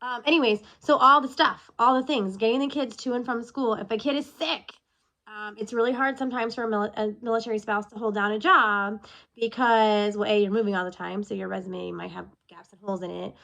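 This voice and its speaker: A woman's voice: a little high, steady tone, fairly quick, vocal fry, a bit bright. Sniffly woman in a casual video check-in. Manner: candid, chatty, goes off on tangents. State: sniffly from allergies. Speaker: military spouse vlogger. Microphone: self-recorded video.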